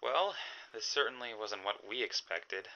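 A man playing a gruff, skeptical 1920s police detective in an audio drama, clipped and dismissive. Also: medium pitch, expressive, normal speed, pushed and bright, dull audio. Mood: guarded, skeptical.

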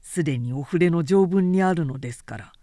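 A woman voicing a resolute, principled samurai man in an audiobook, speaking in a firm, quiet, deliberate and formal way. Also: sits low, no echo, clean studio sound, close to an everyday voice. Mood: calm confidence. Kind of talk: dramatic audiobook character reading.

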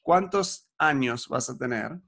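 A man's voice: mid-range voice, animated, slow, vocal fry, fairly bright tone. A man, coaching in a casual chat, upbeat and peppered with fillers. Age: 40s.

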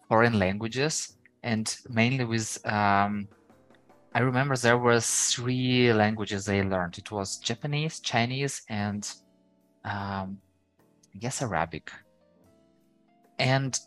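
Ukrainian-accented man, low voice. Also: a bit bright, dry recording. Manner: rambling, with many fillers and restarts. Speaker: podcast guest. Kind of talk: casual interview chat.

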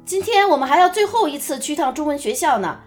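A woman's voice: sits high, animated, quick pace. A woman playing a fussing, coaxing middle-aged mother in a radio drama, with an exclamatory way of talking. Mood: nagging. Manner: nagging, exclamatory. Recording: music under the voice.

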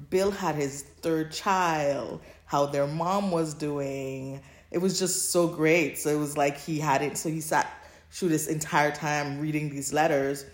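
A woman's voice: sits low, roomy sound. A woman co-hosting a podcast in casual chat, recapping stories in an informal, conversational way. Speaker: podcast co-host.

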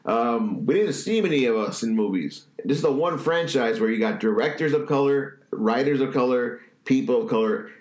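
A man's voice: mid-range voice, animated, normal speed, hardly any pauses, a little mellow. A man hosting a podcast, with a stuffy nose, chatting loosely and bluntly, with some swearing. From New England. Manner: loose, profane, opinionated riffing. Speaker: podcast host. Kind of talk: casual chat. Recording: echoey room.

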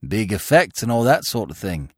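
A man reading an audiobook and voicing a sly, scheming young male gambler who talks in a low, smooth, insinuating way. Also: big pitch swings, moderate pace, dry recording, studio quality.

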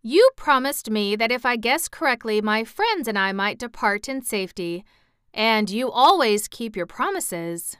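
Brief: a woman doing a confident talking hen, a touch higher, bright and crisp. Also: big pitch swings, measured pace, no echo, studio quality. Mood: insistent. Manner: confident, insistent. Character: bold talking female hen.

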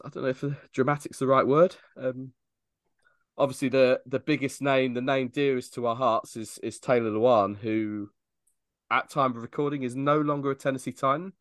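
A man hosting a casual sports podcast, chatty and quick to laugh as he steers the conversation. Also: on the low side, fairly bright tone, no echo, studio quality. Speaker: podcast host.

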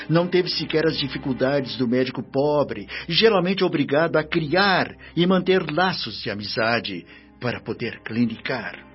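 An actor playing a wise, stern spirit minister in a radio drama, speaking in a formal, measured, didactic way. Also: male voice, medium pitch. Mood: reproving. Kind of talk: radio drama acting, preachy dialogue. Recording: dull lo-fi radio drama over music.

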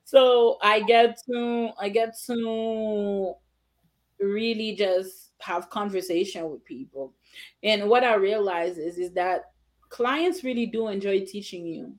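A woman speaks as a guest in a relaxed interview, an attorney who runs her own business. Her voice sits at an average pitch, and she makes her points with assured, emphatic short statements. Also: takes it slowly. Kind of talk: casual interview conversation.

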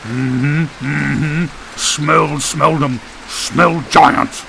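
A man's voice: a little high, fairly even intonation, creaky, sharp tone, a little hiss or hum, heavily acted, rough recording. A man voicing a simple, childlike man who mutters and repeats his words in an audiobook story. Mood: simple, earnest. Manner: halting, repetitive muttering. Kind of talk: audiobook character voice.

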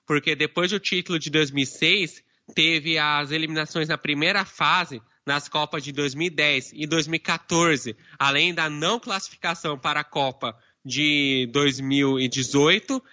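A man's voice: fairly high pitch, pushed and bright. A man on a casual sports panel, explaining steadily and listing facts. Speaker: podcast panelist. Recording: dull lo-fi remote audio.

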